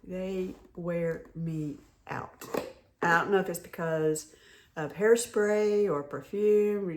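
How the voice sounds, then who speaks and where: A middle-aged woman, hoarse from a head cold, chatting casually and rambling with many restarts while showing off a shopping haul. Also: fairly low pitch, noisy background. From North Carolina. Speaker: haul vlogger. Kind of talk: casual show-and-tell chat.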